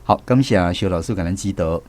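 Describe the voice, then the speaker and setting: A man's voice: low voice, a little fast, a little mellow. Taiwanese man hosting on radio. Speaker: radio show host. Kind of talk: hosting a language quiz segment.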